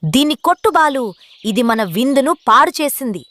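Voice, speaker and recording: A woman's voice: high voice, animated, fairly quick, fairly bright tone, dry recording. A woman voicing a bossy female crow, impatient and urging, in a cartoon story. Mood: angry. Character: urgent, bossy female crow, egg-thieving accomplice.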